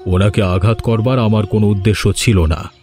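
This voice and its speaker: A man playing a proud, domineering village landlord in a cartoon drama, speaking with deliberate authority. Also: on the low side, steady tone, a little mellow, faint music behind, natural voice. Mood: firm, measured. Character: stern, domineering village landlord, male, proud but remorseful. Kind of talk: Bengali cartoon drama dialogue.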